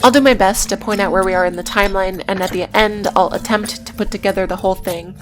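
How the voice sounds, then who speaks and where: A woman's voice: medium pitch, fairly even intonation, quick pace. Wry woman narrating over music. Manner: wry, sardonic asides. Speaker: video essay host. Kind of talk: scripted narration with casual asides.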